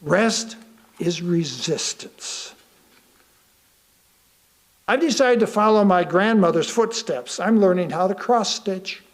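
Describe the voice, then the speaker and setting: A man reading a sermon aloud from a script, deliberately and with emphatic pauses. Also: sits high, expressive. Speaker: lay reader of a sermon.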